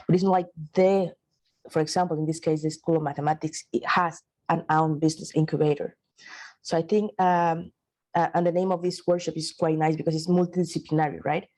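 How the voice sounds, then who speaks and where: Woman from Mexico, hesitant and mellow. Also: deep voice, level tone, brisk. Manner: hesitant, many restarts and fillers. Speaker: workshop participant, university staff. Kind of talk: online workshop discussion.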